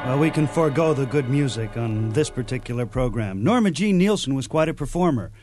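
A man's voice: medium pitch, lively intonation, fairly quick, over loud music. An older man hosting a radio program, introducing recordings in a casual, chatty style. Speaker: old-time radio show host.

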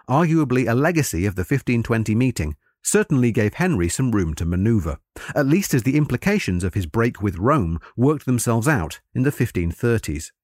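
A man delivering a scripted history lecture in formal, measured, scholarly phrasing. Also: medium pitch, darkish tone, no room sound, clean studio sound.

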